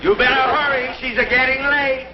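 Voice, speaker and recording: An actor playing an excitable, flirtatious Italian husband in a vintage TV comedy sketch, stammering in broken English with a mock Italian accent. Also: male voice, very high-pitched, a little fast, a loud crowd. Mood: impatient. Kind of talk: 1960s TV comedy sketch acting. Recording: muffled old TV audio, noisy studio audience.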